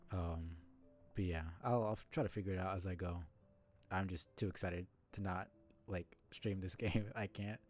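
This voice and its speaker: A man's voice: sits low, darkish tone. A man livestreaming, chatting casually to his viewers in a rambling way as he thinks aloud, with music behind him. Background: music under the voice.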